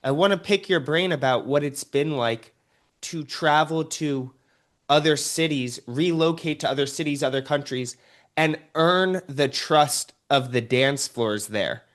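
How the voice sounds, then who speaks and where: A man's voice: fairly high pitch, measured pace, fairly bright tone. A man hosting a podcast interview, asking questions in an admiring, enthusiastic way. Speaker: podcast host. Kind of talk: casual interview chat.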